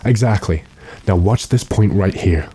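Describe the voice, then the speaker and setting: A man performs a resolute gentleman vampire hunter from an old radio horror play. His voice is very low and mellow, with a deliberate, authoritative delivery that takes command of everyone around him. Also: husky, dry recording. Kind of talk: classic radio horror drama acting.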